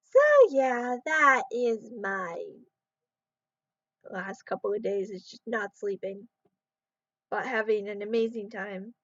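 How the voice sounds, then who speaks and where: A woman talking casually to her camera, sleep-deprived, her voice going hoarse, and rambling as she trails off. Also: mid-range voice, slow pace, long pauses, a bit bright, no room sound. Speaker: vlogger. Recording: dull, muffled sound in a dry room.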